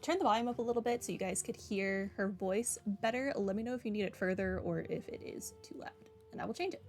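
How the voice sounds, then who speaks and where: A woman chats casually as she streams a game. Her mid-pitched voice has a dry, wry, rambling delivery, with game music playing behind her. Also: fast. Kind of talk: casual game livestream commentary.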